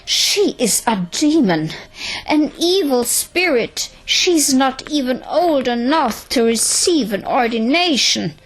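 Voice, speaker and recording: High, indignant protesting nun, acted by a woman. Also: expressive, slow, soft background music, heavily acted, low-quality recording. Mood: indignant, alarmed. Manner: agitated, crying out. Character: indignant, fearful nuns and novices protesting.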